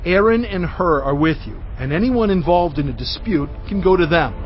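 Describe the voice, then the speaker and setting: Man doing moses, higher voice, firm declaring tone, dull lo-fi audio. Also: animated, moderate pace, lightly acted. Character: Moses, prophet giving instructions to the people.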